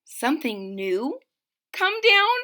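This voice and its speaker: A woman's voice: high voice, big pitch swings, slow pace, sharp tone, voice pushed up, full character voice. A woman voicing an earnest, worried young page boy in a storybook, respectful and pleading. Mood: shocked, pleading.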